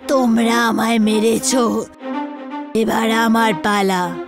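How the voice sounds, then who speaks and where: A vengeful, childlike ghost boy from a horror cartoon, acted by a woman. The voice is very high and slow, with an eerie air. Music is clearly present behind it. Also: level tone. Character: wronged young boy's vengeful ghost, childlike.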